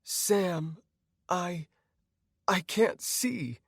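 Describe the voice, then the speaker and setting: Casting: man as scared young woman, high, fragile, pleading, audiobook drama. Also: drawn-out words, no echo, clean studio sound, full character voice. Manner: pleading, tremulous.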